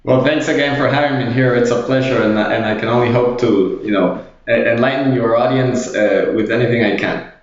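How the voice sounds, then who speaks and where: A man's voice: medium pitch, fairly quick. A man from Guatemala talking as a guest on a podcast, hesitant and casual, with many fillers. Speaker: guest, fund manager. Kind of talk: podcast interview. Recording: very echoey, dull remote audio.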